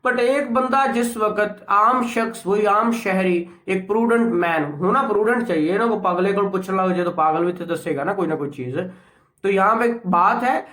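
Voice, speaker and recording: A man's voice: high voice, a bit bright. A man with a Pakistani Punjabi accent giving an informal law lecture, explaining step by step and repeating his points. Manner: repetitive explaining, reads English legal phrases out very slowly. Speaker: law teacher. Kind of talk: informal lecture, explaining statute clauses with everyday examples. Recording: echoey room.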